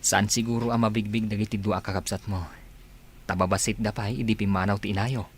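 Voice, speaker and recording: A man playing a calm, understanding brotherly adviser in an Ilocano radio drama. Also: lowish voice, brisk, husky. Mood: calm, advising.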